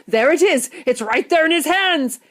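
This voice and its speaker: A woman voicing a big, kindly, excitable male shopkeeper in a storybook, hearty and exclamatory. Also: high voice, a little slow, pitched above the performer's own voice. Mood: thrilled, shouting.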